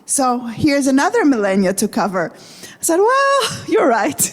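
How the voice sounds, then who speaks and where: A woman's voice: high-pitched, wildly swooping pitch, normal speed. A woman with a Russian and Israeli accent giving a conversational, interactive lecture to a congregation, asking the audience questions. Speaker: guest lecturer. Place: synagogue hall. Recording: reverberant hall.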